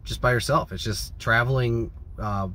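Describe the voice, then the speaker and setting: A man's voice: medium pitch, normal speed, sharp tone. A man, chatting casually to camera in a rambling, informal way. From American Midwest. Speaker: vlogger. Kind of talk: casual vlog chat to camera. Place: inside a parked van. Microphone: phone or camera at arm's length. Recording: clear background noise, vehicle ambience.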